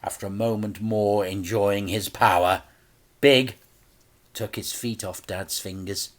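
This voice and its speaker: A man reading a children's story aloud as an expressive narrator, with theatrical, dramatic delivery. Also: lowish voice, a little slow.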